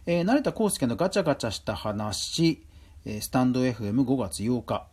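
A man's voice: mid-range voice, expressive, moderate pace, a little mellow, husky. A man hosting a podcast, running through news items and adding his own conversational commentary. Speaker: podcast host. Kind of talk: news roundup commentary, semi-scripted.

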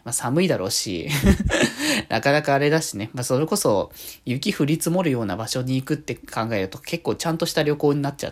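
A man talks casually as a solo podcast host. His mid-range voice swings up and down in a lively way, and he rambles along conversationally with frequent asides. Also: medium pitch. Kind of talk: casual solo radio-style talk.

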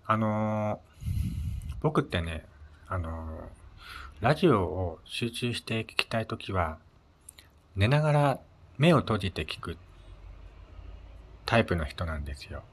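Low-voiced man hosting a casual talk show. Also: big pitch swings, slow, long pauses. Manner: polite, conversational. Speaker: host of a personal audio show. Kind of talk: casual solo radio-style chat.

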